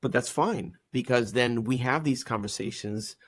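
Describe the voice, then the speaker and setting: A man's voice: medium pitch, moderate pace, no room sound. Puerto Rican man, dry close sound. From Puerto Rico. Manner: enthusiastic, agreeable backchanneling. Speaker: podcast guest. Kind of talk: casual podcast conversation.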